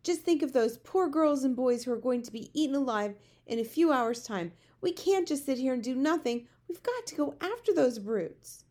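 A woman's voice: fairly high pitch, lively intonation, normal speed, few pauses, mellow. A woman voicing a spirited young girl from a children's storybook, indignant and determined, speaking emphatically. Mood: distressed, insistent. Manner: emphatic, insistent. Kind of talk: children's storybook character reading.

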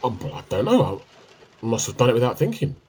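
A sheepish, evasive husband in a radio comedy. His husky, mid-pitched voice moves up and down a great deal, hesitant at times and drily teasing. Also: wildly swooping pitch, fast talker, a little mellow, heavily acted. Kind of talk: radio play comedy dialogue.

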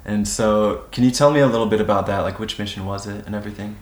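Low-voiced upbeat podcast host man. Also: fairly even intonation, fast. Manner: upbeat, enthusiastic. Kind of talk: casual podcast interview. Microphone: single shared microphone.

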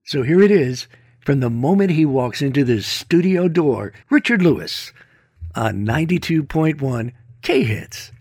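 A man's voice: fairly high pitch, very animated, a little slow, darkish tone, breathy edge, no room sound, clean studio sound. A man, a radio show host, narrating a chatty introduction to a recorded interview. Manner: conversational, chatty storyteller.

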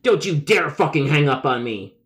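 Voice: medium pitch, expressive, moderate pace, natural voice. Someone voicing an angry, belligerent male pizza customer. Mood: furious. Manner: shouting, indignant, emphatic. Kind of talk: acted phone complaint in a comedic story.

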